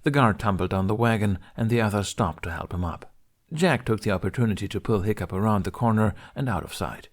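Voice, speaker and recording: A man's voice: fairly low pitch, fairly quick. A man reading scripted narration of an adventure story as a podfic narrator.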